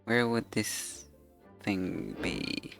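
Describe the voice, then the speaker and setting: A woman's voice: rumbling low, fairly even intonation, drawn-out words, gravelly, over music. A woman casually reacting to a video game as she plays it. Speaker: streamer playing a game.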